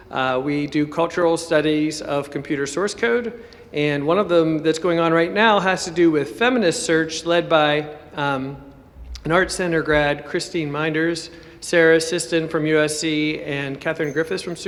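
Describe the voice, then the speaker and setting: A man's voice: a little high, a bit bright. A man, a professor, giving an informal presentation at a conference. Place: conference hall at a university symposium. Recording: echoey hall with long reverb.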